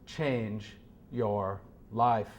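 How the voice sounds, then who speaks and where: A man speaking to a gathered room in a solemn, deliberate way, with measured phrasing, as if presenting a serious reading. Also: lowish voice, fairly even intonation. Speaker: speaker at a meeting. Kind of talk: introducing and reading philosophical prose aloud to a gathering. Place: meeting room with an audience.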